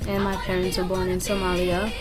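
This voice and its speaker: A woman's voice: on the low side, monotone, brisk. Woman from Kenya telling her story. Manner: candid, with frequent "you know" and "like" fillers. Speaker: interviewee. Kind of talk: personal interview narrative. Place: community youth center. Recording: music bed under interview. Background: music playing underneath.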